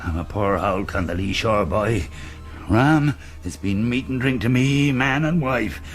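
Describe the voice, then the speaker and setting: Rum-soaked old sea captain, gruff, delirious, slow delivery, faint music, radio play. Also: male voice, on the low side, animated. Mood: bitter, grumbling. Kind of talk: radio drama acting. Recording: 1980s radio drama recording with music.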